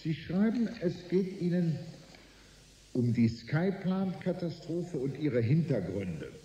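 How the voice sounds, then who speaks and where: An actor playing a condescending, shrewd corporate executive in a science fiction radio drama, dry and measured in delivery. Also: male voice, on the high side, sing-song, mellow. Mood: impatient, dismissive. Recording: roomy echo, faint noise.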